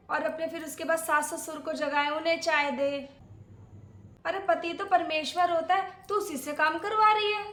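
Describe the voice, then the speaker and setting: A woman's voice: high voice, fairly bright tone. A woman playing a scolding, self-righteous, old-fashioned older aunt in a dramatic, chatty domestic comedy skit. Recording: echoey room.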